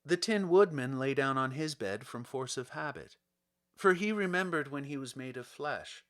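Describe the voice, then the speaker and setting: A man's voice: mid-range voice, lively intonation, moderate pace, fairly bright tone. A man reading a children's storybook aloud as scripted audiobook narration. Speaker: audiobook reader.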